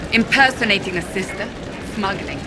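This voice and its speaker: A woman's voice: mid-range voice, animated, normal speed, heavy background noise, close to an everyday voice. An actress playing a stern, commanding female warrior in a fantasy video game, formal and severe in speech. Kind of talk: video game character dialogue.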